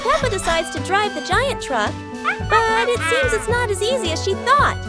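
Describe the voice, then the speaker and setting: Very high-pitched woman narrating a children's story. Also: expressive, moderate pace, over loud music.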